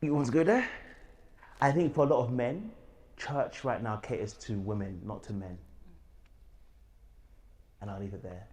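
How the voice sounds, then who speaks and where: A man from Birmingham talking frankly and relaxed in a casual panel discussion. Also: medium pitch, normal speed, long pauses. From Birmingham, England.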